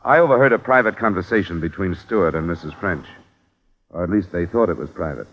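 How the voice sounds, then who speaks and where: A hard-boiled investigator who narrates and questions in a terse, matter-of-fact way. His voice is very low, and it comes through a muffled old radio broadcast. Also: a little fast. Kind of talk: 1950s radio drama narration.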